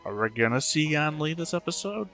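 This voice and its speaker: A man's voice: on the high side, expressive, a little fast, fairly bright tone, faint music behind. A man giving casual reaction commentary, musing and thinking aloud as he hosts. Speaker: reaction show host.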